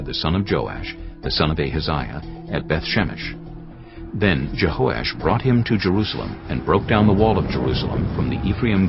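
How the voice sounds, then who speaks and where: A man narrating a dramatised scripture reading in a measured, solemn way. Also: extremely low pitch, gravelly. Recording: slightly muffled with soft music.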